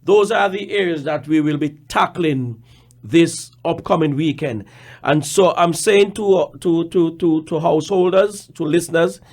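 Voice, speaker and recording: A man with a Caribbean accent, a manager speaking on a radio programme, explaining deliberately and repeating words for emphasis. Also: a little high. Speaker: general manager and radio guest.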